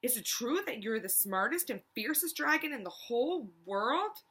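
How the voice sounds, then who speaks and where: A bold, clever young storybook princess acted by a woman. She exclaims with emphasis in a bright, forceful voice that leaps up and down. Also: fairly high pitch, animated, moderate pace, voice pushed up. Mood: eager, probing.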